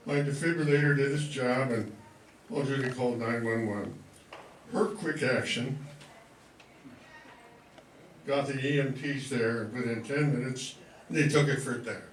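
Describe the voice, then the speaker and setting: An older man with a medium-pitched voice gives an informal speech in short, halting phrases with a dry wit. He sounds some distance from the recorder, in an echoey room with faint noise. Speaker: guest speaker, amateur writer and actor. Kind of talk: informal thank-you speech. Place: room before a live audience.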